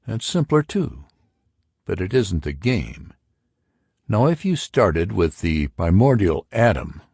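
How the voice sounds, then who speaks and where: A man's voice: sits low, sing-song, slow pace, a little mellow, slightly rough, no echo, clean studio sound, exaggerated voice. A man voicing an argumentative intellectual who expounds theories to friends in an audiobook. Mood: eager, pedantic. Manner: emphatic, pedantic. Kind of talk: audiobook character dialogue.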